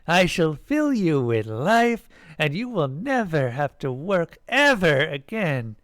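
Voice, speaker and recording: A man's voice: fairly high pitch, no echo, studio quality, voice pushed up, full character voice. A man voicing a girl in a children's pageant who plays a loving mother goddess, proclaiming grandly and sweetly in a story.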